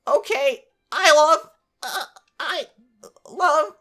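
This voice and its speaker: A woman's voice: high voice, extremely slow, pushed and bright, voice pushed up, exaggerated voice. A woman voicing a timid, nervous, geeky reptile scientist with a hesitant, earnest manner in a visual novel game. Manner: hesitant, stammering, gushes when passionate. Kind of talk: amateur visual novel voice acting.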